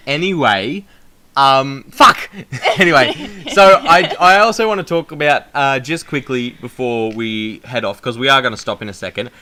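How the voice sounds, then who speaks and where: A young man hosting a casual podcast chat, with a loud, projecting voice and many fillers and restarts. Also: mid-range voice. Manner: frequent fillers and restarts, laughs at himself. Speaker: podcast host.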